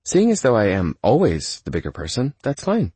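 A man's voice: medium pitch, huge pitch swings, moderate pace, dark tone, breathy edge, dry recording, voice pushed up. A male audiobook narrator voicing a prickly, defiant woman with icy sarcasm. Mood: haughty.

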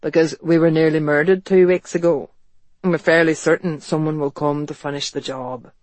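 A man acts out a gruff, wary man from a period novel. He is terse and plain-spoken, and the audiobook sound is close and very dry. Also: fairly high pitch, fairly even intonation, moderate pace, darkish tone, no echo, natural voice. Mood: wary, insistent. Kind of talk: audiobook character dialogue.

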